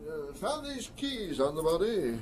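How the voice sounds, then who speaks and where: A man's voice: fairly high pitch, very animated, a little slow. An actor playing a matter-of-fact male police doctor in a detective audio drama, clinical and offhand.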